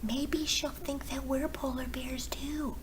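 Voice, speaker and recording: A woman acts out a young storybook girl, eager and brave yet gentle. The voice sits high and breathy, with a reassuring lilt, and there is clear noise in the recording. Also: steady tone, slow pace. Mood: curious, eager. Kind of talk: storybook character reading.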